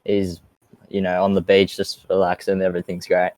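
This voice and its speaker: A young man in loose, joking chat with friends. His voice is fairly low and sounds close and dry. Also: completely flat delivery, normal speed, a little mellow, no room sound.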